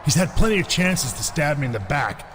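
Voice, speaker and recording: An actor playing a confident, sarcastic wrestling champion in a video game cutscene. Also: male voice, on the high side, very animated, brisk, background noise. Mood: cocky. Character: cocky male wrestling champion, quick with comebacks.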